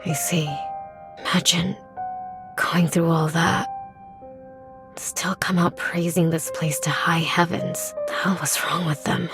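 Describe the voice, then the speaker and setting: A woman's voice: on the low side, flat delivery, slow pace, long pauses, over music. A woman playing a blunt, skeptical but protective young woman in a horror visual novel, with a frank, casual and sardonic manner.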